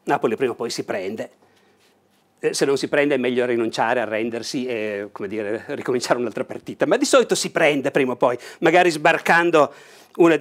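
Older man, enthusiastic board game host, animated explainer, clip-on mic indoors. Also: mid-range voice. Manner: animated, leans over table and gestures while explaining. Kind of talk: enthusiastic unboxing talk. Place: bright indoor room with a large table.

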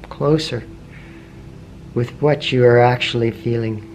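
A man guides a meditation in a soft, unhurried voice of middling pitch, letting long pauses fall between short phrases. His questions come gently and patiently. A faint hiss sits under his voice. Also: steady tone. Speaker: meditation teacher. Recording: faint background noise with meditation music.

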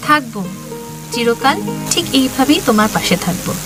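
A young newlywed wife. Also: female voice, high voice, expressive, moderate pace, over music. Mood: tender. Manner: affectionate, stammers when frightened. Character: young newlywed wife, tender then terrified. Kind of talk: audio drama acting.

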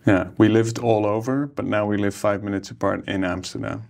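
A man in his forties, a plastic surgeon, telling his life story in a relaxed interview, speaking easygoingly with many hesitations into a table microphone. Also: fairly low pitch, brisk, creaky. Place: ornate room, seated interview. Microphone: studio microphone on table.

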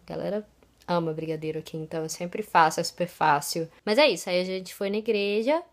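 A woman's voice: lowish voice, a little fast. A young woman vlogging casually from her bedroom, chatty and laughing often, a bit stuffy from a cold. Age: young adult. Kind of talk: casual vlog chat. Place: small bedroom at home. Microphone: phone camera at arm's length.

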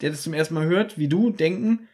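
A man with a slightly high voice, co-hosting a casual podcast. He retells a radio play's plot scene by scene in a chatty, explaining way. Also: a little fast. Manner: retells plot in detail, animated when joking. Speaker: podcast co-host. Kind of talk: casual chat reviewing a radio play.

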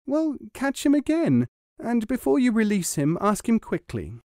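A man voicing a greedy, demanding fisherman's wife who is never content, in a high, insistent, scolding voice. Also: wildly swooping pitch, unhurried, darkish tone, no room sound, clean studio sound, exaggerated voice. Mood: eager.

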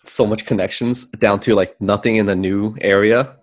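A man's voice: fairly low pitch, steady tone, moderate pace. A young man from China, a guest on a video-call interview, talking in a laid-back, easygoing way. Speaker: guest, car vlogger.

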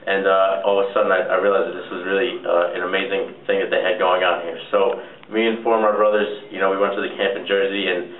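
A man's voice: sits low, flat delivery, fast, hardly any pauses, a little hiss or hum. A young man giving a casual testimonial to camera as a college volunteer. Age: young adult. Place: plain-walled indoor room. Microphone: camera a short way off. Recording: echoey, distant, dull camera audio.

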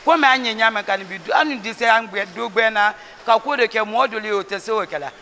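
A middle-aged woman from Guinea answers indoors in a high, bright voice. She makes her point firmly and repeats it, with faint room sound behind her. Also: quick pace, creaky, slight background noise. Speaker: interviewee. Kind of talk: vox pop answer. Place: indoors by a wall.

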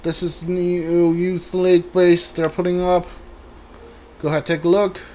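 A man giving casual video game commentary, thinking aloud in a halting way and reading bits out as he goes. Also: high-pitched, little pitch movement, warm tone, a little hiss or hum. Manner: halting, mutters to himself, reads guide and subtitles aloud.

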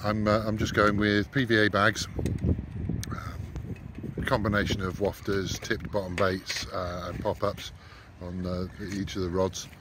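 Man vlogging over loud background noise. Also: flat delivery, a little slow. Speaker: angler vlogger. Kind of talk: casual vlog to camera. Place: in a moving car, later outdoors by a lake. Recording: noisy, wind and road rumble.

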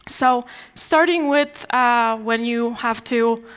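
A woman's voice: on the high side, slow pace, a bit bright, clear, resonant tone. A young woman from Poland with a Polish accent, giving a conversational conference talk on stage, often restarting her sentences with fillers. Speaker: conference speaker. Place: large dark conference stage before an audience.

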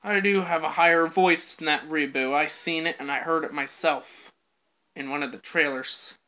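Quiet, halting toy-show voice. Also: medium pitch, steady tone, low-quality recording. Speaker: home video toy show maker. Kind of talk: plush toy skit with puppet dialogue. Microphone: camera on a bedroom floor.

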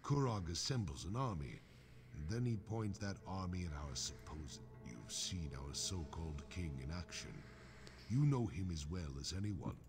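A bitter rebel prisoner in a fantasy video game. The voice is very deep, grave and accusing, with clear music underneath. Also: male voice, sing-song, normal speed. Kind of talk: dramatic video game dialogue.

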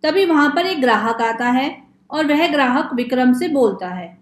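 A woman narrates a scripted children's story. Her voice is high, and the recording has a roomy echo around it. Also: lively intonation, normal speed.

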